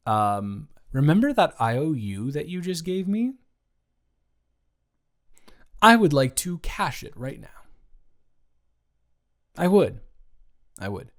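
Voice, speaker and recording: A young man playing an awkward, playful, smitten roommate in an improvised romantic audio roleplay, talking in a halting, rambling way with emphatic bursts. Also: medium pitch. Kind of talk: improvised romantic audio roleplay, intimate one-sided conversation.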